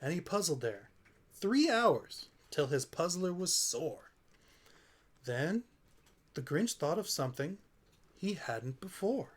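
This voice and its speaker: A man's voice: a little high, sing-song, slow, pauses often. A man reading a children's storybook aloud in a theatrical, playful way, as a streamer would. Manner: theatrical, playful, with offhand asides. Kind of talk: dramatic children's storybook narration.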